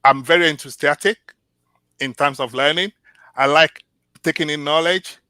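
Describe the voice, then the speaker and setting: A man from Nigeria, a Yoruba speaker by birth, answers interview questions earnestly and with care. His voice is bright and forceful, and the recording sounds close and dry. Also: fairly high pitch, clean tone, dry recording. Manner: earnest, measured, with restarts. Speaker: job candidate. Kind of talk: mock job interview answers.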